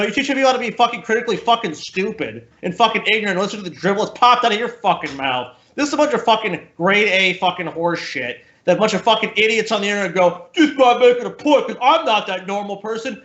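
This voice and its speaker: A man's voice: high-pitched, pushed and bright. A man co-hosting a podcast, giving casual, combative reaction commentary with scornful, profane rants. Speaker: podcast co-host. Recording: roomy echo, slightly dull sound.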